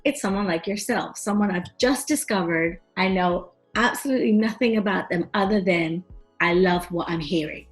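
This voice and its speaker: A woman's voice: mid-range voice, moderate pace, faint music behind. A woman hosting a radio interview in a casual, chatty, effusive way with informal slang. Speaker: radio show host, interviewer.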